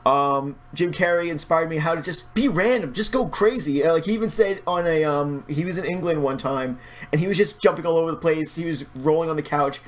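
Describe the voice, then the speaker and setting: A man's voice: a little high, brisk. A man speaking as a guest in a casual podcast chat, rambling, opinionated and freely swearing. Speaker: podcast guest, video creator.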